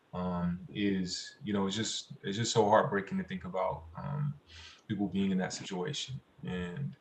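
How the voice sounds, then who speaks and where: A man with a fairly low voice speaks off the cuff as a panelist. He is halting, often repeating himself as he searches for words. The sound is roomy and echoey, as on a video call from an untreated room. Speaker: community organizer, panelist. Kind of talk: spontaneous panel answer.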